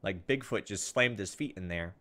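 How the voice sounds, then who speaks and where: A man's voice: low voice, normal speed. A man playing through a game as host, reading its text aloud and dropping in informal, muttered asides. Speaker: let's play host. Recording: steady background noise over the voice.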